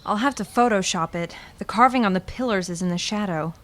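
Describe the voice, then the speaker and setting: An actress playing a practical, fondly exasperated wife in a radio drama, talking in a natural, gently teasing way. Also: female voice, mid-range voice, brisk, lightly acted.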